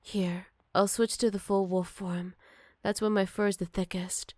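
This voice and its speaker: Motherly she-wolf, played by a woman, older, protective, tender and unhurried. Medium pitch, intimate dry ASMR roleplay delivery. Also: little pitch movement, no room sound, natural voice. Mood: tender, nurturing. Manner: soft, caring, unhurried, slipping into whispers. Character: gentle motherly older female werewolf, protective and wistful. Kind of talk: ASMR roleplay, intimate one-sided dialogue to listener.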